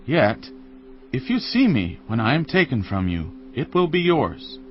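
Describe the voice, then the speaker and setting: An actor playing a grave elder prophet in a dramatised audio scripture, solemn, measured and authoritative. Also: male voice, medium pitch, big pitch swings. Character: grave elder male prophet, calm master. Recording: dull lo-fi sound, music underneath.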